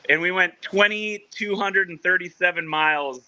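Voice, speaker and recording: Soccer club dude on a video call at training, super bright voice, rambling and restarting. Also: male voice, high-pitched, normal speed, rough recording. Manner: rambling, full of restarts and fillers. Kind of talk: casual remote interview. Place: at a team training ground.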